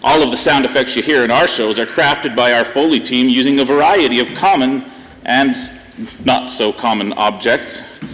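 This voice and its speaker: A man's voice: mid-range voice, moderate pace, fairly bright tone. A man acting as announcer for a radio variety show, giving a scripted stage introduction. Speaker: announcer, master of ceremonies. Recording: echoey hall, long reverb, faint noise.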